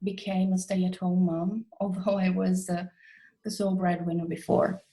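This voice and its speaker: A woman's voice: on the low side, flat delivery, normal speed, mellow, poor audio. A middle-aged woman answering as an interview guest, reflective and conversational, expressively voicing imagined quotes to illustrate her points. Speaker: interview guest, expat coach.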